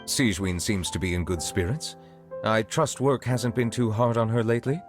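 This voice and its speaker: A grave, formal chief justice, played by a man with a very deep voice, choosing his words with measured care. Soft music plays beneath him. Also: natural voice. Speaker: narrator of past events. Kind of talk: game cutscene voice acting.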